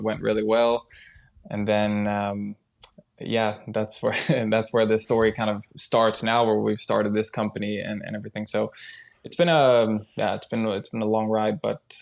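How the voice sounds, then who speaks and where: A man's voice: lowish voice, gravelly. A man from Sweden who partly grew up in Brazil, talking casually as a podcast guest, often restarting his sentences. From born in Sweden, partly raised in Brazil. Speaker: podcast guest, startup founder. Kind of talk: casual conversational interview.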